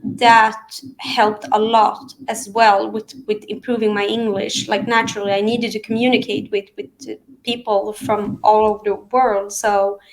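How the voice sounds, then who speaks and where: A woman from Sweden, whose first languages are Aramean and Arabic, talking through her path in real estate in a relaxed interview with frequent fillers and restarts. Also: medium pitch, rough recording. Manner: thoughtful, many fillers and restarts. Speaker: real estate agent, guest. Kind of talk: casual interview conversation.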